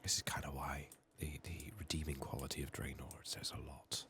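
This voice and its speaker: A soft-spoken man giving unhurried ASMR game commentary, musing to himself and sometimes slipping into a whisper. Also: a bass voice, flat delivery, a little hiss or hum. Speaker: gaming streamer, ASMR host.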